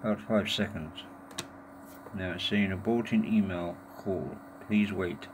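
A man giving a casual, halting hands-on demonstration, muttering to himself in an informal way. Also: low voice, fairly even intonation. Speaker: tech video maker. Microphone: handheld phone or camera held over the device. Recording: noisy amateur handheld camera recording.

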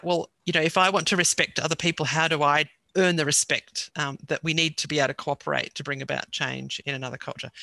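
A woman's voice: low-pitched, creaky, sharp tone, dry recording. An older woman explaining ideas earnestly on a video call from her home study, with frequent ums. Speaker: guest speaker. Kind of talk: lecture with audience questions. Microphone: headset on video call.

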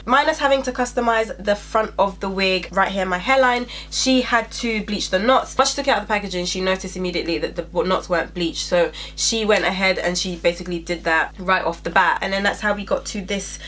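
A woman's voice: mid-range voice, a little fast, few pauses, a bit bright. A young woman with a stuffy, blocked-up nose from a cold talks straight to her viewers. Her manner is chatty and upbeat, like a casual review recorded at home on a camera mic. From England. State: congested, stuffy-nosed from a cold. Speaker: beauty vlogger. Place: small indoor room at home.

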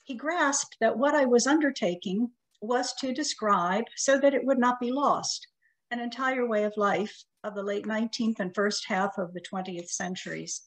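A middle-aged woman whose first language is Russian, giving a prepared lecture in a measured, careful way, her phrases trailing off softly. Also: a little high, low-quality recording. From United States.

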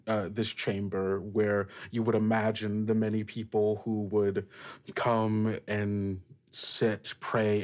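A man hosting a tabletop roleplaying game as game master, improvising descriptive narration and putting questions to the player. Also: on the low side.

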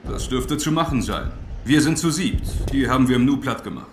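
A man's voice: mid-range voice, expressive, brisk, natural voice. A man playing a terse, determined military captain in an audio drama. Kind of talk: German audio drama acting. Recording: echoing space, faint noise.

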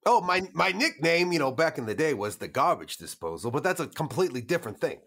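A man's voice: on the high side, sing-song, fast, a bit bright, exaggerated voice. A man playing an eager, awkward, oversharing underling in a roleplay game, rambling in a flustered way. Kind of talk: tabletop roleplay acting.

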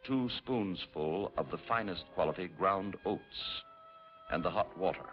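An actor voicing a weary, defiant prisoner's deliberate, ironic inner monologue in a 1950s film. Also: male voice, low-pitched, expressive. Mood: bitter irony. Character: weary, defiant male prisoner thinking aloud in voice-over. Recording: dull old film track under loud music. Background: film score.

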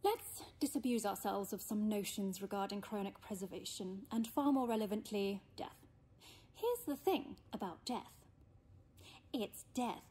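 Actress as a husky, blunt female expert. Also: mid-range voice, animated, measured pace, mellow. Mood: dry, blunt. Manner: dry, emphatic, lecturing.

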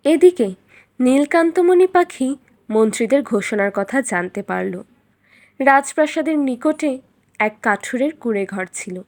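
A woman storyteller reading a scripted fairytale in an expressive, dramatic way. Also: high voice, normal speed.

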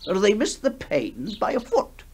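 An actor playing a grave, polite bishop in a radio horror story, speaking formally and hesitantly. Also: male voice, high voice, huge pitch swings, unhurried, darkish tone, pitched above the performer's own voice, full character voice. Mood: reasoning, hesitant. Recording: noisy 1960s radio broadcast.

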